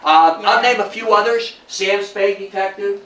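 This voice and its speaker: A man giving an informal public lecture, talking conversationally and haltingly and often putting questions to his listeners. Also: high-pitched, a bit bright. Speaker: guest lecturer. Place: indoor meeting room, before a local audience. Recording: echoey room, distant microphone.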